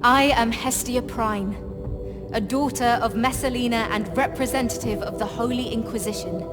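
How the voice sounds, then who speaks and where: A solemn, devout holy woman of a grim future Inquisition, played by an actress. Her fairly high voice is formal and reverent, a game cutscene speech over loud music. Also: moderate pace, lightly acted. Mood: solemn fervour. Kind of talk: acted video game cutscene monologue.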